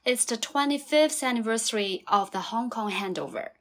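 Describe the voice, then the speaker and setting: High-voiced woman hosting a podcast. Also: moderate pace, a bit bright. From China. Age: late 20s. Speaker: podcast host. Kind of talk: podcast interview, prepared questions.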